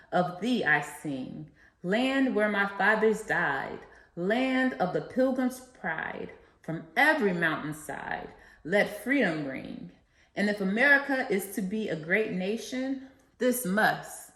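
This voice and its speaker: A woman's voice: mid-range voice, a bit bright. A woman reading aloud and explaining for children, as an educator leading a read-along. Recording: echoey, reverberant room sound.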